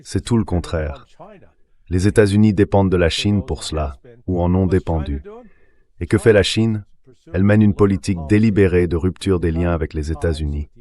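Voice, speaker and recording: Very deep synthetic male commentator. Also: moderate pace, warm tone. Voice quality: synthetic AI dubbing voice. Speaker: political commentator, interview guest. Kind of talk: dubbed voice-over of political commentary.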